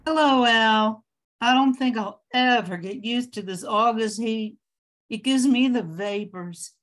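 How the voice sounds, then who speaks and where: A woman's voice: fairly high pitch, a little slow, natural voice. An older woman playing a polite, homespun widowed homemaker in a 1940s-style radio drama. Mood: polite, chatty. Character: genteel 55-year-old widowed New Orleans homemaker, plain-spoken. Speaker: amateur radio-play performer. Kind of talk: 1940s radio drama acting, read from script.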